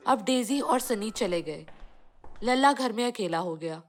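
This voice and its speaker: A woman's voice: medium pitch, animated, unhurried, soft background music. A woman reading a scripted children's cartoon story as the narrator.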